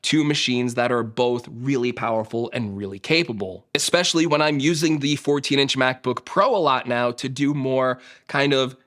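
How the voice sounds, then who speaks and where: A young man, a tech YouTuber, chatting to camera in a casual, self-mocking way, his voice a little hoarse from a sore throat. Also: mid-range voice, moderate pace, hardly any pauses, a bit bright.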